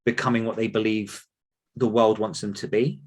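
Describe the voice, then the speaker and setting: A man's voice: on the low side, flat delivery, moderate pace, breathy edge. A man speaking earnestly and conversationally as an author coach being interviewed.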